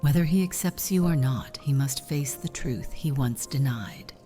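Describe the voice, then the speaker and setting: A very low, dark-toned vampire mother voiced by a woman speaks gravely and formally, often close to a whisper. She is a solemn figure from a fantasy game roleplay, with music around her measured words. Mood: solemn urging. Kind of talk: scripted fantasy roleplay dialogue.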